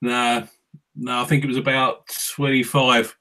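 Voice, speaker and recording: A man's voice: mid-range voice, little pitch movement, a little slow, bright, forceful tone. A man chatting casually as co-host, giving short laid-back answers. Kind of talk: casual chat.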